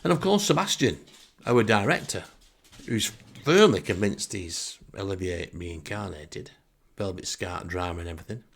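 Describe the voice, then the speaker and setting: Low, gravelly Northern English man, wry. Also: expressive, moderate pace. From northern England. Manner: wry, sarcastic. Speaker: podcast host. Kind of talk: comic first-person storytelling monologue.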